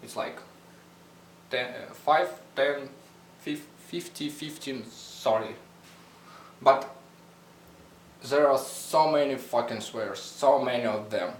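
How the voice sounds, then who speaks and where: Blunt swearing Russian-accented man, echoey. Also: mid-range voice. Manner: blunt, swears a lot, halting non-native English. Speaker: vlogger. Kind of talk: casual rambling vlog. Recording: echoey room, mic at a distance, faint hum.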